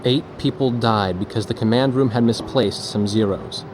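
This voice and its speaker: An actor playing a thoughtful war photojournalist, narrating past events in a measured, reflective way for a game. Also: male voice, mid-range voice, background noise, natural voice. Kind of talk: scripted cinematic narration.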